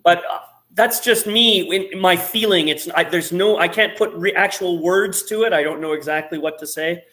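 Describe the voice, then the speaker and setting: A man's voice: high voice, normal speed, bright, forceful tone. A man hosting a casual chat over a video call, upbeat and hesitant, restarting his sentences often. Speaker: host.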